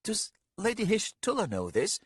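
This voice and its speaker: A man's voice: high voice, huge pitch swings, normal speed, slightly rough, no room sound, clean studio sound, pitched above the performer's own voice, full character voice. A man voicing a young noblewoman who is tearful, insistent and defiant. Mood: upset. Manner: pleading, sharp and insistent.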